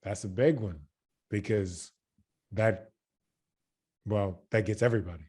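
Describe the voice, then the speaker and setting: An American man from Louisiana talks as an interview guest, his voice sitting fairly low. He speaks haltingly, leaning on fillers and repeating words before he lands a point. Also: a little mellow, husky. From Louisiana, USA. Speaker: character coach, guest. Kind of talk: casual interview chat.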